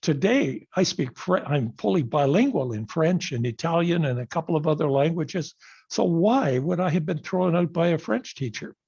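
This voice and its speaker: Husky older man on a lo-fi call. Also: fairly high pitch, lively intonation, a little mellow. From Canada. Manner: earnest, measured storytelling. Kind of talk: personal speech on a video call. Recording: dull lo-fi video call audio.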